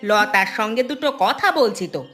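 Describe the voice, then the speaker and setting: A woman playing a sharp-tongued, gossip-hungry village wife in a cartoon, with a brash, complaining manner. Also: mid-range voice, expressive, normal speed, faint music behind, natural voice. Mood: irritated. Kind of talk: cartoon voice acting.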